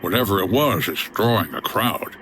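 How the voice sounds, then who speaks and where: An actor playing a gruff, no-nonsense hotel owner turned sleuth in a comedy noir audio drama, terse and exasperated. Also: male voice, lowish voice, expressive, normal speed, heavy background noise, lightly acted.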